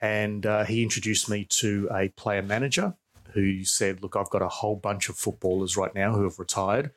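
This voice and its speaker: A man's voice: lowish voice, fairly even intonation, normal speed. A middle-aged man with an Australian accent, explaining things informally as an expert guest in an interview. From Perth, Australia. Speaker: expert guest, professor and researcher.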